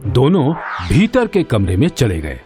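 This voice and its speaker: A male narrator reads a children's tale expressively. His mid-range voice moves with plenty of melody at a slow, deliberate pace. Music plays under the narration. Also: medium pitch, huge pitch swings, dark tone. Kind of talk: scripted children's story narration.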